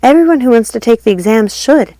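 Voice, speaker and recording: A proud, defiant fairy woman, as a reader plays her, speaks in a cool, measured way. The sound is dry and close. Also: on the high side, lively intonation, dark tone, dry recording, voice pushed up, natural voice.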